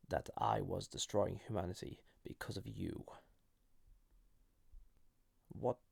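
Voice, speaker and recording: Male actor as banished ancient entity for intimate audio roleplay: very gravelly, unhurried, hesitant, flirty with a dangerous edge. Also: low voice, no echo, exaggerated voice. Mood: unsettled, probing. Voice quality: gravelly, husky, breathy. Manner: hesitant, softly intimate, deliberate. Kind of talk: scripted audio roleplay addressed to listener.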